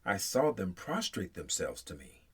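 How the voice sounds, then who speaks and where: A man reading scripture aloud in English in a solemn, measured way, with deliberate phrases that open with emphasis and fall away at the end. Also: low voice, big pitch swings, breathy edge. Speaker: scripture reader.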